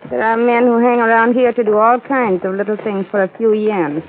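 An actress playing a cool, enigmatic bar-room femme fatale in an old radio drama, with measured, formal phrasing. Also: female voice, on the high side, steady tone, narrow, tinny audio, a little hiss or hum, natural voice. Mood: cool, knowing. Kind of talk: 1940s-50s radio drama acting. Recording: thin old radio recording, faint music.

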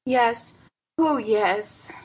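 A frail old woman from a storybook, acted out by a female narrator, with a husky voice set fairly high. She is wistful and plaintive, forever pleading as if close to tears. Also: drawn-out words, heavily acted. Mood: meekly pleading. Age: older.

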